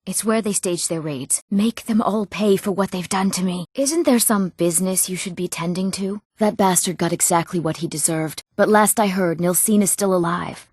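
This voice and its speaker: A woman's voice: on the low side, normal speed, few pauses, darkish tone, breathy edge, no room sound, studio quality. A woman voicing an emotive, dramatic young woman in a fantasy video game. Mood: bitter. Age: young adult. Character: eager, wronged young woman of a fantasy world. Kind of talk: video game character voice lines.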